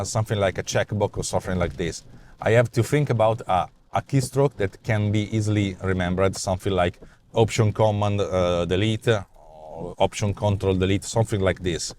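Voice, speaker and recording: A man's voice: on the low side, slight background noise. A man in his forties with an Italian accent, talking off the cuff in a car about his app ideas, with lots of fillers and repeated words. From Italy. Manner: animated, gestures a lot, many repeats and fillers. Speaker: vlog host and app developer. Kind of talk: casual spoken rant. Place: inside a parked car, daytime. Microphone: clip-on lapel mic.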